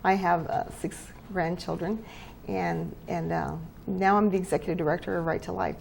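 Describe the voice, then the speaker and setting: An older woman from New Mexico, a guest in a casual interview, chatty and halting, laughing easily. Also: on the low side, steady tone, gravelly, slight background noise, low-quality recording.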